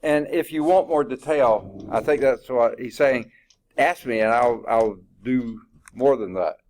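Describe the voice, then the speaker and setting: Loud-voiced man coaching a math team. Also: mid-range voice, unhurried. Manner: relaxed, encouraging, many short agreements. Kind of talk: casual classroom talk. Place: classroom.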